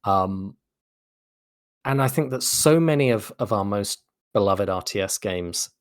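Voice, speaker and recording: A man's voice: lowish voice, expressive, measured pace, no room sound, clean studio sound. A man speaking as an interview guest, articulate and explanatory in a thoughtful conversational style. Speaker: interview guest, game narrative designer.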